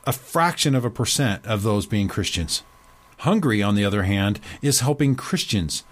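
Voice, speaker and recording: A man hosting his own commentary show, talking emphatically, slowing to stress key words and dropping low at the ends of his points. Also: lively intonation. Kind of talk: opinionated commentary reading excerpts aloud.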